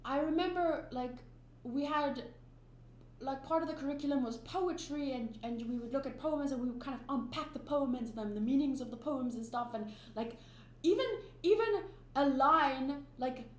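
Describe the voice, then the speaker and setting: A woman's voice: high voice, normal speed. Rambling woman in a roomy space. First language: Afrikaans. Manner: rambling, emphatic, many restarts and fillers. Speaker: anime reaction YouTuber. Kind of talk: casual reaction commentary. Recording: roomy echo with faint hum.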